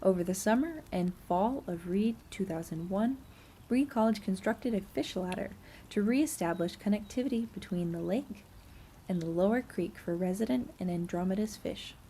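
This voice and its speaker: A woman with a soft-edged, mellow voice reads scripted narration as a tour guide would. Her delivery is measured and informative, and there is some faint noise behind her. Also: medium pitch. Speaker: student narrator of an audio nature tour.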